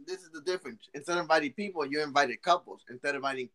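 Excitable young man, bright voice. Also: a little high, racing, poor audio. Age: 20s. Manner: rambling, restarts a lot, excitable. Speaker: podcast co-host. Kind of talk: casual chat.

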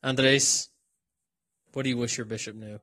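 A man's voice: medium pitch, fairly even intonation, measured pace, sharp tone. Joking man hosting a classroom podcast. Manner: joking, laughs often. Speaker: podcast host and college instructor. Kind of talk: casual classroom podcast chat. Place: classroom on a college campus.

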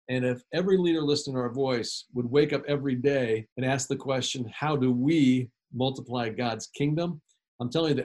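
A man's voice: medium pitch, darkish tone. A man as a guest author, answering in an emphatic, preacherly way and repeating key phrases.

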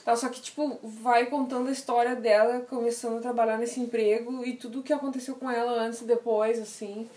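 A woman's voice: on the high side, flat delivery, fairly quick, hardly any pauses, mellow, recorded from a distance. Podcast co-host, woman, loose slangy talker, recorded in a roomy space set back from the mic. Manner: slangy, rambling, laughs often. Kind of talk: casual chat.

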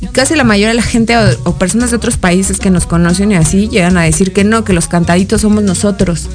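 A woman's voice: medium pitch, soft background music. Chatty Mexican woman host. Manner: chatty, talks a lot, quick to laugh. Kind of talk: casual interview chat.

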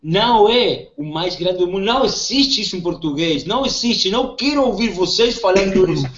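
Argentine-accented man, non-native speaker, halting delivery, roomy lo-fi audio. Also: high voice, lively intonation, fairly bright tone. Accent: Argentine Spanish. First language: Spanish. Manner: halting, searching for words. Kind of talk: casual chat. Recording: echoey lo-fi sound.

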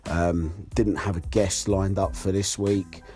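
A hoarse young man hosting his podcast alone, talking candidly and rambling with fillers. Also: deep voice, level tone, slow pace, a little mellow. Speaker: podcast host. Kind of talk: solo podcast monologue.

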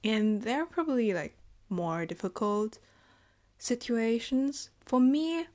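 A young woman from Germany, speaking in a reflective personal vlog, thoughtful and hesitant, with halting phrasing. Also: on the high side, expressive, darkish tone. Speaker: vlogger.

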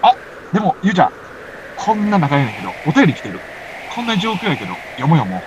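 A man acts out a drowsy podcaster stranded in the snow, whining and pleading dramatically in a Kansai accent. His fairly high voice swings widely in pitch. It is amateur comic radio drama with faint noise behind it. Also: wildly swooping pitch, quick pace, lo-fi sound. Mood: weary, rambling. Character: sleepy male podcaster stranded on a snowy mountain, whiny.

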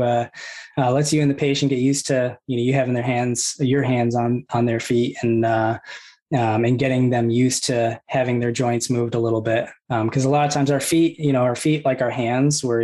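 A man in his thirties, a physical therapist, calmly explaining his clinical approach with many ums and you-knows. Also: mid-range voice. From United States. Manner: measured, filler-heavy, explains step by step.

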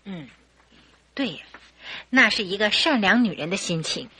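An actress playing Mrs. Hudson, a sympathetic and curious housekeeper, in an exclamatory old-fashioned radio drama style. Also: female voice, mid-range voice, normal speed, slight background noise. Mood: concerned. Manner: exclamatory, expressive.

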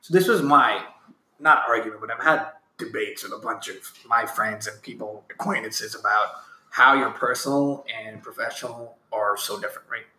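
A man's voice: fairly low pitch, moderate pace, pushed and bright, slight background noise. Rambling male interviewer in an echoey room. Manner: rambling, loosely worded questions. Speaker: interviewer, host. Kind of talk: casual interview conversation.

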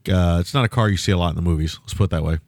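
A man co-hosting a film podcast, talking in a loose, rambling way with lots of fillers and restarts, and a loud voice. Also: sits low, racing, dry recording, studio quality. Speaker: podcast co-host. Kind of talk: casual film-review chat.

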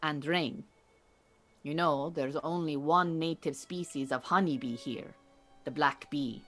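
An actress playing an earnest, proud Iranian refugee woman who mentors beekeepers in an audio drama, speaking in a measured, formal, precise way. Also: low-pitched, soft background music. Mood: calm, cautioning. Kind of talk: naturalistic audio drama acting.

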